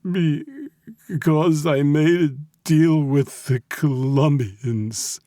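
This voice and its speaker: A male narrator plays a terrified captive businessman in an audiobook thriller. The voice is pushed up high into a tearful whine, slow and halting, pleading for mercy. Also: animated, creaky, darkish tone, dry recording, clean studio sound, heavily acted. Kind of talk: audiobook character acting.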